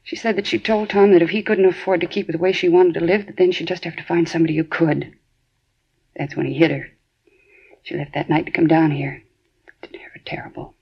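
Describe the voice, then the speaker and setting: A woman's voice: lowish voice, fairly even intonation, fairly quick, gravelly, breathy edge. An actress playing an anxious woman who talks hesitantly and stumbles over her words, on a 1950s radio drama. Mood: distraught. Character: anxious, fretful middle-aged woman. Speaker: victim's sister. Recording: dull lo-fi 1950s radio broadcast.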